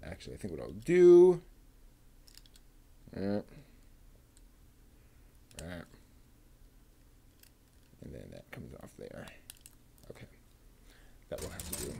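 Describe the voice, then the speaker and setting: Man, lowish voice, slow, mellow, muttering to himself, building a model kit. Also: gravelly, a little hiss or hum. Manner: mumbling to himself while working, unhurried.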